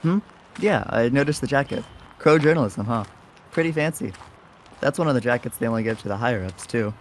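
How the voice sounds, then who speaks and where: A man's voice: medium pitch, expressive, fairly quick, slight background noise, lightly acted. A man playing an easygoing, cheeky young rogue in an audio drama, talking in casual, wry slang. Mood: curious, friendly. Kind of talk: audio roleplay drama acting.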